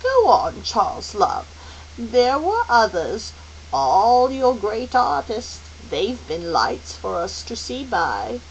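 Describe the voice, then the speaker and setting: A woman voicing a kindly, wise old celestial lady in a storybook, gentle and comforting with a lilting manner. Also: a little high, wildly swooping pitch, slow pace, voice pushed up, heavily acted, rough recording. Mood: encouraging. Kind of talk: audiobook character voice. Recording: faint hiss.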